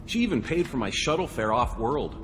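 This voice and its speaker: A man's voice: medium pitch, very animated, brisk, music in the background, lightly acted. A man playing a deluded, boastful wannabe space hero in a video game, full of self-important bluster that slips into defensive pleading. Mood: earnest, eager. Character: delusional, boastful wannabe male space hero, eager fanboy.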